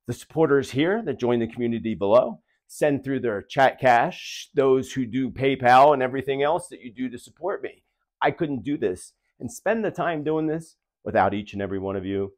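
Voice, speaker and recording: Animated, theatrical man with a higher voice. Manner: theatrical, rhetorical questioning, emphatic phrasing. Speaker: channel host, self-described spiritual medium. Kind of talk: dramatic to-camera prophecy commentary.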